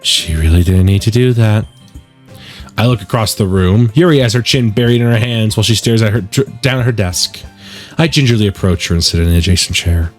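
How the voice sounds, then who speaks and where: A man's voice: lowish voice, brisk, soft background music. A man hosting a gaming video, giving conversational, opinionated let's play commentary and reading out narration. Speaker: gaming video host and musician.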